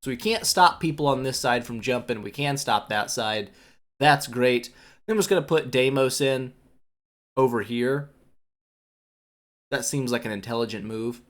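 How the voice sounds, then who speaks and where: A young man giving relaxed, rambling commentary while playing a mobile game, a bit congested from a cold, with lots of filler words and self-mocking asides. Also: medium pitch, moderate pace, long pauses. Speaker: gaming YouTuber. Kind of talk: casual gameplay commentary.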